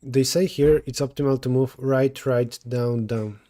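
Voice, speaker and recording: Mellow-voiced Polish man thinking aloud. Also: medium pitch, fairly even intonation, unhurried. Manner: thinking aloud, self-correcting mid-sentence. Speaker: streamer solving coding problems. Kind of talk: live coding stream, answering chat.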